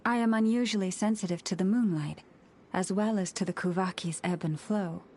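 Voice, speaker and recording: An actress voicing a serene, gentle young antlered moon priestess in a video game, speaking in a measured, poetic, formal way. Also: female voice, mid-range voice, a little hiss or hum, natural voice. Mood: solemn, reflective. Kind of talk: scripted video game dialogue.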